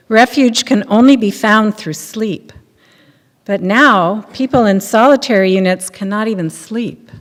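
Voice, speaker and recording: An older woman, over sixty, giving a scripted reading of testimony from a lectern. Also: medium pitch. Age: 60s or older. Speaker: readers theater performer. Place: temple sanctuary at a lectern. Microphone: boom mic on a stand at lectern. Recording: echoey hall with long reverb.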